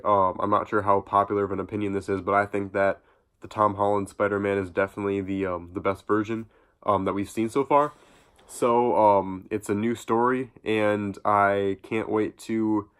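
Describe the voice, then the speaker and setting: A young man talks to his viewers with a congested, stuffed-up nose from a cold. His voice sits low and barely moves in pitch. He wanders through his thoughts with frequent ums and false starts. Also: fairly bright tone, clear, resonant tone. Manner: rambling, many ums and restarts. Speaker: YouTube vlogger. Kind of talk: casual reaction vlog talk.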